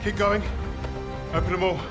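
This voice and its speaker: An actor playing a resolute, brisk Allied squad leader in a video game cutscene, giving orders with authority. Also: male voice, sits high, steady tone, loud music behind the voice, lightly acted. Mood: brisk, intent.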